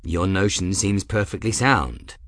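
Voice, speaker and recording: A man voicing a composed, formal captain who speaks courteously and smoothly. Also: low-pitched, animated, measured pace, fairly bright tone, dry recording, clean studio sound. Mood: formal, guarded.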